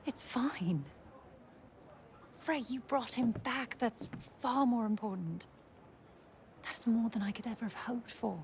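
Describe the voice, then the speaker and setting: Gentle, earnest higher-voiced young woman. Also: a little slow, pauses often, slight background noise. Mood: kind, grateful. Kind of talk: naturalistic video game acting.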